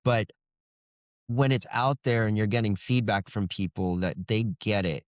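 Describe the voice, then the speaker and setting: A man's voice: lowish voice, unhurried, dry recording, clean studio sound. A man speaking as an author guest in a casual interview, earnest and reflective. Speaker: guest, indie fantasy author.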